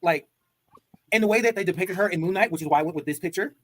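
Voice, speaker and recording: High, lively, husky-voiced man host. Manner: excitable, playful, puts on quick voices when quoting. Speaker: podcast host. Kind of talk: casual chat, animated.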